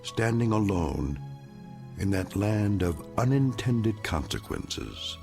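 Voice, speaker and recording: A man narrating an anthology drama from a script in an ominous, measured manner. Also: on the low side, very animated, vocal fry, darkish tone, soft background music.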